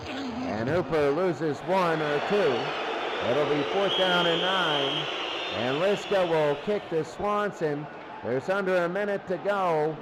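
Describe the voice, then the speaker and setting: A high-voiced man gives play-by-play football commentary over clearly noisy sound. He takes his time and repeats key phrases to drive them home. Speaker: play-by-play sports announcer. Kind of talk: live American football radio-style commentary.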